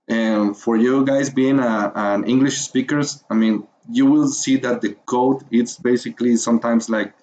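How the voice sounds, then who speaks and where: A man's voice: medium pitch, darkish tone, slight background noise, rough recording. Hesitant Mexican-accented man teaching. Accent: Mexican Spanish. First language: Spanish. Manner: hesitant, many fillers and pauses while finding words; encouraging. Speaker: course instructor. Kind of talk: screencast tutorial narration.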